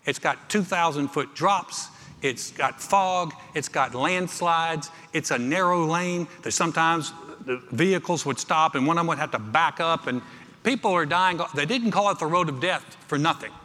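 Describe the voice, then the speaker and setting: A middle-aged male pastor preaches in a large, reverberant church auditorium. His voice is fairly high and forceful with a bright edge. He tells personal stories conversationally and drives points home by repeating phrases. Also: moderate pace. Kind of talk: sermon with personal anecdotes. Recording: reverberant hall, amplified preaching.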